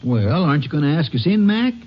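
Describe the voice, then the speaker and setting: A man's voice: a little high, very animated, fast. An actor playing a folksy, questioning small-town sheriff in a 1950s radio drama, informal in his speech. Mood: helpful, puzzled. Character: folksy male small-town sheriff, slower-witted sidekick. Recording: muffled, noisy old-time radio recording.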